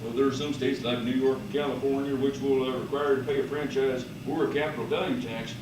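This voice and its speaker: A man voicing a unicorn billionaire boss in an amateur puppet show, halting and mock-serious. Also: mid-range voice, steady tone, pitched below the performer's own voice, lightly acted. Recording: roomy, noisy, far from microphone.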